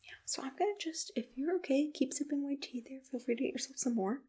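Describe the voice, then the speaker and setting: A woman playing a gentle, soothing monster matchmaker in a whispered ASMR roleplay, unhurried and reassuring. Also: high-pitched. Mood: pleased, businesslike. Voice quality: breathy, whispery. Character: soft-spoken, accommodating female monster matchmaker. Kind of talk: ASMR personal-attention roleplay, mostly whispered.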